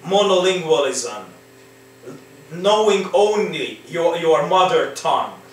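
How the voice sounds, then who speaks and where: Slow man teaching in an echoey room. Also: on the high side, lively intonation. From Romania. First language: Hungarian. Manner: slow, coaxing, repeats with rhetorical "right?". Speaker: English teacher. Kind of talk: exam-prep lesson. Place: living room at home. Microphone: laptop or camera in front. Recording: very echoey room, distant mic, faint noise.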